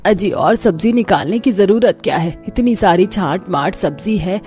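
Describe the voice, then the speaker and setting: A scolding old grandmother, played by a woman in a cartoon household drama. She is bossy, practical and nagging, in a mid-pitched voice over faint music. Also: moderate pace, warm tone, natural voice. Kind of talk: Hindi cartoon story acting.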